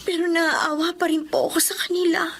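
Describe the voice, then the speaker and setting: An actress playing a gentle, forgiving young daughter of a poor family in a radio drama, meek and tender. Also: female voice, very high voice, a little fast, gravelly, a little hiss or hum, full character voice. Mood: sorrowful.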